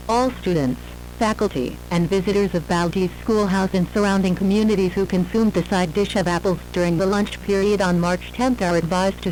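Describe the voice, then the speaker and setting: An emergency alert announcer reading a scripted warning bulletin in a formal, measured manner. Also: mid-range voice, darkish tone, slight background noise, poor audio.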